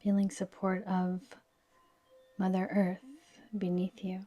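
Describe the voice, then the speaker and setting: Slow, breathy woman guiding meditation. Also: mid-range voice, completely flat delivery, no room sound, lo-fi sound. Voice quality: soft, breathy, whispery. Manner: soothing, gentle, unhurried instruction. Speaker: yoga nidra guide. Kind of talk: guided relaxation meditation.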